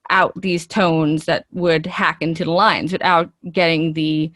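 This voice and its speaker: A woman's voice: sits low, flat delivery, a little slow, a bit bright, no room sound, clean studio sound. A woman speaking conversationally as an interview guest, explaining things in a chatty, enthusiastic way. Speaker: interview guest, actress and voiceover artist.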